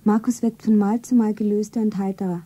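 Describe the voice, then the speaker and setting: Eine Schauspielerin spielt in einem Hörspiel eine junge, naive Verkäuferin, zärtlich und sentimental verliebt, mit weicher Stimme. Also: female voice, mid-range voice, steady tone, fairly quick, dark tone, husky, natural voice. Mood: dreamy, hopeful. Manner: naive, sentimental, self-narrating. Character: slender young shopgirl, tender, wistfully devoted lover. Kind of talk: German radio play acting.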